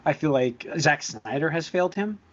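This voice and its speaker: A man's voice: a little high, animated, moderate pace, a bit bright, highs cut off. A man co-hosting a casual movie podcast, giving blunt, opinionated takes with some swearing. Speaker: podcast co-host. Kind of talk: casual movie discussion among friends.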